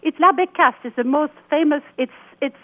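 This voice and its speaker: A woman's voice: sits high, normal speed. A woman calls in to a radio show, her mid-range voice squeezed through a tinny phone line. She talks eagerly and agrees readily with the host. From Belgium (Wallonia). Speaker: radio caller.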